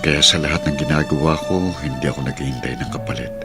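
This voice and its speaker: A man's voice: a bass voice, fairly even intonation, quick pace. A man acting a patient, kindly middle-aged uncle and guardian in a Filipino radio drama, speaking earnestly. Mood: gentle, reassuring. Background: background music.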